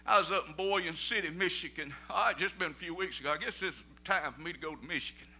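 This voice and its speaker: High, bright-voiced Southern preacher man. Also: fast talker, husky. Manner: folksy storytelling, rising to shouted preaching peaks; Southern vernacular, "ain't no". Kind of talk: sermon.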